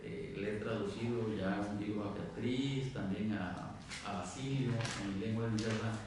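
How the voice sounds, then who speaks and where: A man from southern Mexico whose first language is Zapotec, speaking as a writer on a round-table panel in a measured, explanatory way. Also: fairly low pitch. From Isthmus of Tehuantepec, Mexico. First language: Diidxazá (Zapotec). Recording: echoey room, far from mic, faint noise.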